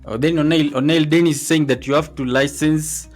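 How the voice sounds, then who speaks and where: A man's voice: on the high side, fairly even intonation, a little fast, soft background music. A man hosting a casual livestream interview, relaying audience questions and hesitantly repeating the openings of his sentences.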